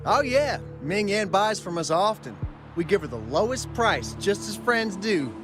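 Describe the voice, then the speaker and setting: A man playing a cowardly exile gang leader in a video game, nervous, placating and quick to back down. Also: high-pitched, big pitch swings, music in the background. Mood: casual. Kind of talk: video game character acting.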